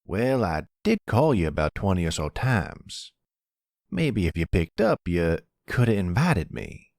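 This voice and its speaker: Man acting as old police grandpa, fairly low pitch, swooping delivery, domineering, folksy, scolding, audio drama. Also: very animated, a little slow, gravelly, darkish tone, no echo, studio quality, exaggerated voice. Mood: sardonic.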